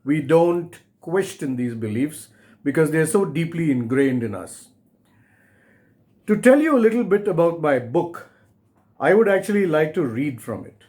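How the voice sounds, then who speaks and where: Male author reading his book aloud. Slightly high-set voice, very unhurried, deliberate phrasing, addresses the listener directly. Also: darkish tone. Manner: deliberate, short phrases with pauses, rhetorical questions.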